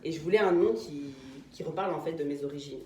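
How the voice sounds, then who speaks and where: A young woman from eastern Congo, a salon owner, chatting lively while she washes and explains hair care, laughing often. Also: sits low, fast, a little hiss or hum. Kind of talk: casual chat while working. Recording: echoey salon room.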